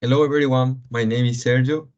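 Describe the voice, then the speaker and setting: A man's voice: medium pitch, fairly even intonation, brisk, a little mellow. A man from Paraguay, a graduate student, briefly introducing himself on an online call. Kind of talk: self-introduction. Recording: dull lo-fi call audio.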